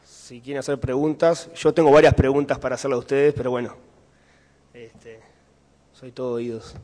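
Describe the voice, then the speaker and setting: A man's voice: medium pitch, fairly even intonation, normal speed, darkish tone. A man with a Uruguayan Spanish accent giving a conference talk and answering questions, explaining step by step with fillers and checking in with the audience. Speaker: conference speaker. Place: conference hall. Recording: hall reverb from a lecture room.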